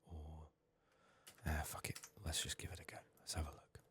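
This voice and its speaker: Male ASMR game streamer, ill and hoarse: rock-bottom pitch, flat delivery, subdued breathy murmur with whispering and long pauses. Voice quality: husky, raspy, breathy. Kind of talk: soft-spoken whispered ASMR game playthrough.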